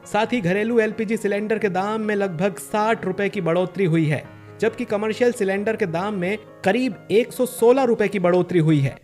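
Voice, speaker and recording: A man's voice: high voice, normal speed, darkish tone, soft background music, voice pushed up, natural voice. A man voicing an urgent news reader delivering a bulletin in a cartoon.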